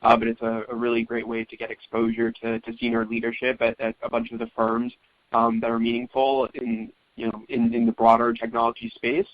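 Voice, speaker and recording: A man's voice: medium pitch, monotone, fairly quick, no room sound. A young man from Venezuela in his twenties introducing himself on a webinar, often repeating words. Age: 20s. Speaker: webinar panelist, investment banking analyst. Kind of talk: casual panel introduction. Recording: dull dry lo-fi call audio.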